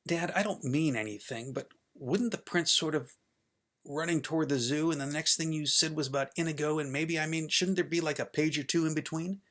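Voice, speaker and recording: A man voicing an upset, stubborn young boy in a story, emotional, insistent and halting as he pleads with his father. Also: on the high side, a bit bright, pitched above the performer's own voice, close to an everyday voice. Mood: pleading.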